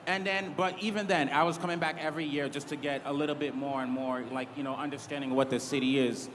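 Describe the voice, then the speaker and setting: A man's voice: on the high side, fairly even intonation, quick pace. A man chef from Massachusetts telling his story on a panel, earnest with hesitant fillers. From Cambridge, Massachusetts. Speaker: head chef and restaurant owner. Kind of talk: panel talk. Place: large convention hall. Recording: reverberant hall, clear noise.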